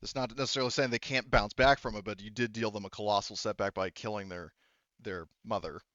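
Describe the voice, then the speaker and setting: A man running a tabletop game as game master, explaining things casually in a halting, repetitive way. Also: fairly low pitch, steady tone, a bit bright, no room sound, highs cut off. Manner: halting, explanatory, repeats himself.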